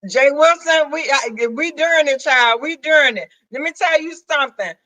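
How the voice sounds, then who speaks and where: Brief: Southern woman live stream host, sassy and teasing, high bright voice. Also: normal speed, no room sound. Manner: sassy, teasing, calls others "girl" and "child". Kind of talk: casual banter on a live stream.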